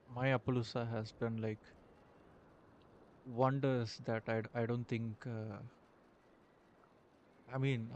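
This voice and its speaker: A man with an Indian accent chatting in character during a roleplay game, halting and full of fillers. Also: mid-range voice, a little mellow, a little hiss or hum. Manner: hesitant, halting, many fillers. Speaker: roleplay streamer.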